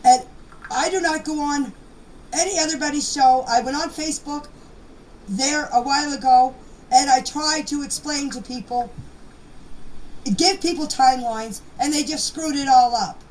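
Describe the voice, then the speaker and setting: A middle-aged or older woman giving an informal personal account in a halting, plain-spoken, insistent manner. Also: sits high, rough recording. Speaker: private person giving her account in voice clips. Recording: amateur voice clips with background noise.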